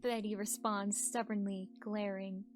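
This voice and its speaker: A woman's voice: medium pitch, flat delivery, measured pace, faint music behind. A woman reading fan fiction aloud in a casual, amused way, breaking off to comment. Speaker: voice actor, co-reader.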